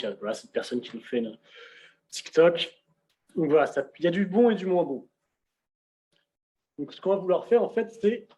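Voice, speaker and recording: A young man giving a casual on-screen software walkthrough, talking conversationally with frequent fillers and restarts, recorded on a computer mic. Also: on the high side. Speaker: presenter of a software demonstration. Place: indoors at a computer.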